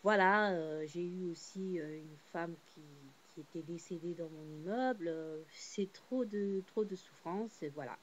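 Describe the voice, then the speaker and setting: A woman's voice: fairly low pitch, a little slow, few pauses, highs cut off, a little hiss or hum. Woman, casual monologue, rambling and heartfelt, full of fillers. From born in Portugal, Cape Verdean family. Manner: rambling, many fillers and restarts; heartfelt, swings between subdued and animated.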